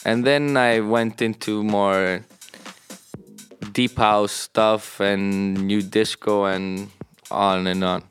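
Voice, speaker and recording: A man from Canada and Greece giving casual interview answers as a DJ, often halting to search for words. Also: fairly low pitch, fairly even intonation. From born in Canada, moved to Greece at thirteen. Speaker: DJ and music producer. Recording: music playing underneath. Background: music.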